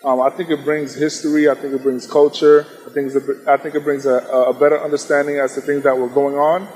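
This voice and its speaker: A man's voice: fairly high pitch, little pitch movement, fairly quick, a little mellow. A young adult man answering interview questions as a stage actor, in an articulate, considered manner. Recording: very echoey hall.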